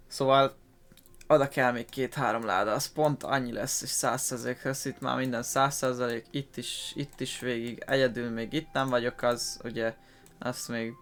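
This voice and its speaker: A man's voice: medium pitch, little pitch movement, moderate pace, a bit bright, clean tone. A Hungarian man giving casual let's-play commentary, informal and often searching for words. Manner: informal, hesitant word-searching. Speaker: gaming video host.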